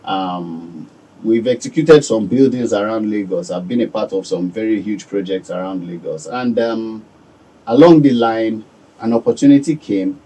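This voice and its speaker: A Nigerian man giving calm, measured answers as a guest in a radio studio. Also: lowish voice, breathy edge, recorded from a distance, poor audio. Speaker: business owner guest.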